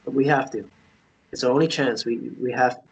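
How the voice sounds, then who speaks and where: Through a muffled, low-fidelity line comes a man acting a young romantic hero of a fantasy drama. He is protective and earnest, pleading in halting phrases at an ordinary male pitch. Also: a little hiss or hum, natural voice. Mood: earnest, determined. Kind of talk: dramatic table read acting.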